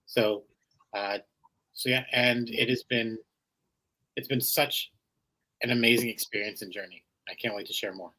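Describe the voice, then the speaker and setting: A man in his forties answering interview questions over a video call, pausing with fillers. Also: mid-range voice, steady tone, sharp tone, rough recording. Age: 40s. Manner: hesitant, many filler pauses. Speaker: guest, chef and donut shop owner.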